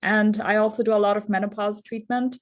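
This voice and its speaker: Measured woman physician from Bangladesh. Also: mid-range voice, flat delivery. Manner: measured, methodical explaining. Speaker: endocrinologist guest. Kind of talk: explanatory interview answers.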